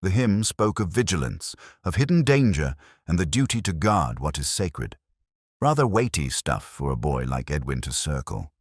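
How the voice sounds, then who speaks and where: A man narrates a scripted detective story in a very deep voice. His delivery is lively in pitch, and the sound is dry and close. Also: moderate pace, dry recording. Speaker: audiobook-style narrator.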